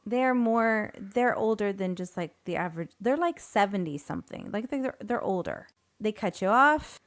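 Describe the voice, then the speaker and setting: A woman's voice: medium pitch, animated, normal speed. A young woman co-hosting a podcast, chatting casually, laughing easily and complaining with emphasis. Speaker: podcast co-host. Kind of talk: casual chat.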